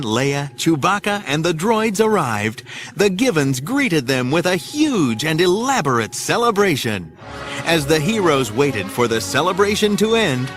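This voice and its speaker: A man's voice: a little high, fairly bright tone. A man narrating an audio drama in an urgent, theatrical storytelling style.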